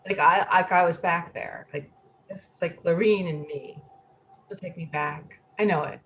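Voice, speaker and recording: A woman playing an anxious, slightly odd middle-aged woman who speaks haltingly in a play reading. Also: fairly low pitch, steady tone, a bit bright, a little hiss or hum, lightly acted, low-quality recording. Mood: wistful, subdued. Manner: halting, repeating words, fretful.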